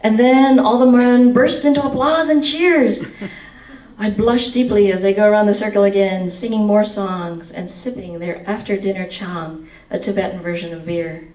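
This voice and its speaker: A woman's voice: medium pitch, normal speed, reverberant, recorded from a distance, low-quality recording. A woman in her forties reading aloud from her memoir with a headset mic, then answering audience questions. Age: 40s. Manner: expressive reading, sings snatches of song. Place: indoor meeting room.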